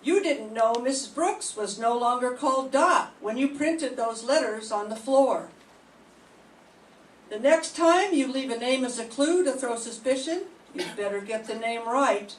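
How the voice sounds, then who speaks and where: An older woman reading the part of a calm, shrewd police inspector in an old-time radio mystery, with deliberate, measured questioning. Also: a little high. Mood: stern, probing. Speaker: student in a radio drama class. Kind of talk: 1940s radio mystery acting. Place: classroom. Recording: echoey room, distant mic, faint hiss.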